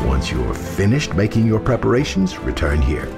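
A man's voice: on the low side, huge pitch swings, normal speed, creaky, over loud music, heavily acted. An actor playing a friendly, hospitable male shopkeeper. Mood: welcoming.

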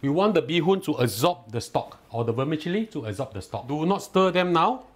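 Singaporean male cooking host, somewhat high voice, patient step-by-step instruction, keen on the food. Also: big pitch swings, brisk, breathy edge. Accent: Singaporean English. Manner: instructive, step-by-step, lightly enthusiastic. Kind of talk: cooking tutorial voice-over. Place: home kitchen.

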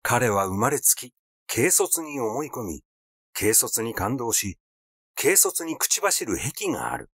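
A man's voice: mid-range voice, big pitch swings, slow pace, a bit bright, husky, dry recording, studio quality. A man narrating a classic literary story as an audiobook reader.

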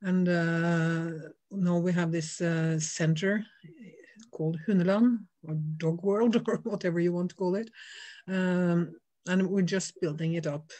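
Middle-aged woman, Norwegian accent, non-native English, frank and relaxed, home video call. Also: lowish voice. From Norway. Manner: frank, laughs easily, unhurried. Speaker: dog trainer guest. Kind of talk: casual video call interview. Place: home room with shelves.

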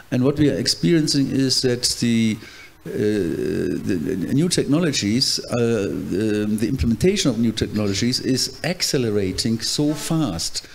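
Panelist, male, 50s, English; halting, earnest, handheld mic in a conference hall. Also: medium pitch, darkish tone. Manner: hesitant, many fillers and restarts, earnest explaining. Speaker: trade union panelist. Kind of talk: panel discussion answer.

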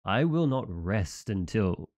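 A man voicing a loyal, dim-witted young knight in an audio roleplay, earnest and naive, over soft music. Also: fairly low pitch, very animated, slow, exaggerated voice. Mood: outraged. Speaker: voice actor.

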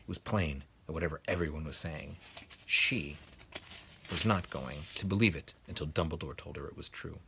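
A man's voice: extremely low pitch, normal speed, gravelly, pushed and bright, a little hiss or hum. A man in his thirties narrating a storybook expressively, recorded indoors at about arm's length. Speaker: guest reader. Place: small furnished room, indoors, in bed. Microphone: camera or phone at about arm's length.

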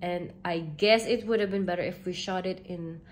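A woman's voice: on the low side, steady tone, normal speed. Woman, casual vlogger talking to camera, chatty, giggles between lines, faint hum behind. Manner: chatty, laughs between sentences. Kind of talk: casual vlog chat to camera. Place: small room indoors, seated in armchair.

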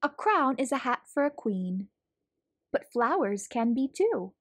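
A woman reading a children's picture book aloud as a storytelling host, in an expressive manner for young listeners. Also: on the high side, measured pace. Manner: animated, clearly paced storytelling for young children.

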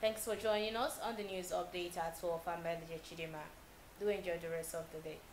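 A young Nigerian woman reading a news bulletin as a TV newsreader, steady and measured with small slips. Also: on the low side, reverberant, a little hiss or hum. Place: TV news studio.

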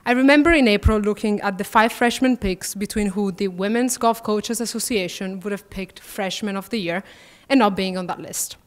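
A young woman in her twenties with an Italian accent, a student athlete giving a prepared speech at a podium, warm and gently self-deprecating. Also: mid-range voice, normal speed, hardly any pauses. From Italy. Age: 20s. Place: large banquet hall, at a podium. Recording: reverberant banquet hall.

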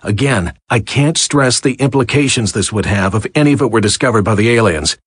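A man's voice: fairly low pitch, animated, a little fast, slightly rough. A man playing a matter-of-fact facility worker recording journal entries for a video game. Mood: serious.

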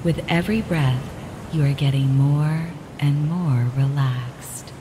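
A woman with a very deep voice talks the listener through a guided relaxation, calm and measured, at an unhurried crawl. Clear ocean surf washes behind her, and added reverb trails her words. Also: background noise. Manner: calm, measured, repetitive instructions. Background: ocean waves.

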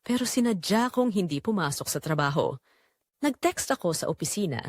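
A woman's voice: on the low side, expressive, fairly quick, a little mellow, no room sound, studio quality. A woman narrating a scripted first-person dramatic story.

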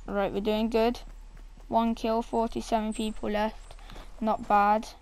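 A woman with a croaky, gravelly voice, coughing now and then, casually commenting on her own video game play. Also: on the high side, flat delivery, measured pace, a little mellow. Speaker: gameplay streamer.

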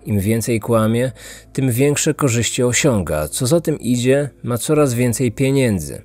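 A man narrating a scripted true-crime podcast in measured, suspenseful phrases. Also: mid-range voice, expressive, a little mellow. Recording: dry studio voice over music. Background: background music.

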